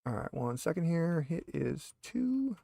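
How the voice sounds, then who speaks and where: A man's voice: on the high side, very animated, unhurried, creaky, mellow, dry recording, studio quality. A man acting as game master, chatting casually and explaining the rules step by step. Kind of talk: casual tabletop game chat.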